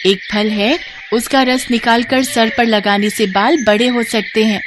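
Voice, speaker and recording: A woman's voice: on the high side, fairly even intonation, unhurried, background noise, natural voice. A woman voicing a kind, consoling female bird in a children's story, with a reassuring manner.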